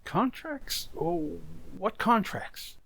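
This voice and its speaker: A man acting a troubled, forgetful factory boss in an old-style radio drama, halting and uneasy. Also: sits high, sing-song, gravelly, a bit bright, husky, exaggerated voice. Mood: confused, flustered.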